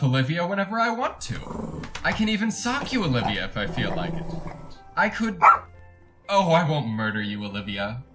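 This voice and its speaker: An actor playing a chatty, over-polite, creepy encyclopedia salesman who bullies his dog, in a retro radio drama monologue. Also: a little high. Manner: fussy, over-polite, wordy, theatrical.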